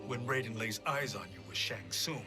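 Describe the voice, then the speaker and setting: A man's voice: fairly low pitch, expressive, moderate pace, loud music behind the voice, natural voice. A man voicing a sly, wry sorcerer.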